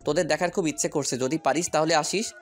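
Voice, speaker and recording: A man's voice: a little high, fast, soft background music, close to an everyday voice. A man playing a determined businessman hero in an audio story, speaking firmly and with purpose. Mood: friendly, resolved. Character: determined businessman hero, commanding boss, loyal friend.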